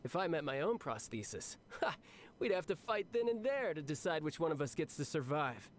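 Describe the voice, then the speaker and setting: An actor playing a cocky, cheerful young warrior officer in a video game, speaking in a breezy, confident, conversational way. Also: male voice, a little high, big pitch swings, normal speed, slight background noise. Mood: wry, amused. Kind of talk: scripted video game character monologue.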